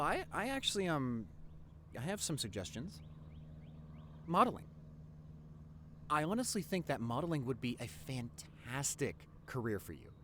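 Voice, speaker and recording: Casting: male actor as devoted nerdy young boyfriend for audio roleplay; mid-range voice, lively intonation, earnest and teasing. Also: mid-range voice, a little hiss or hum.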